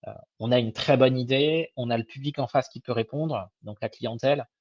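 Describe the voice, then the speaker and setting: A young man with a slightly hoarse voice gives a casual webinar presentation as an advisor, hesitant and informal, with many fillers and restarts. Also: medium pitch, normal speed, darkish tone, no echo. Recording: dull, lo-fi web call audio.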